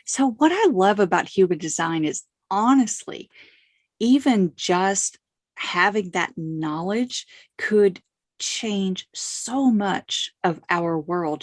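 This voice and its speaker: A woman hosting a podcast, speaking slowly and hesitantly with many fillers. Her voice sits a little low, and it is recorded with a dry, close sound. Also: no echo. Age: young adult to middle-aged. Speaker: podcast host. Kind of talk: casual podcast conversation.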